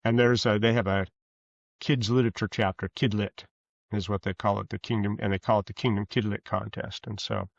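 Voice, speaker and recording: A man with a low, gravelly voice talks as a guest in an interview. He feels his way through sentences, pausing and restarting. The sound is close and dry but slightly dull. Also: flat delivery, no room sound. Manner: hesitant, halting, self-correcting. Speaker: guest author. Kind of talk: conversational interview answers.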